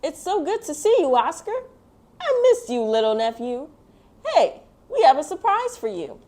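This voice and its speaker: Woman putting on a high, gushing storybook relative. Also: very animated, moderate pace, dark tone. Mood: delighted.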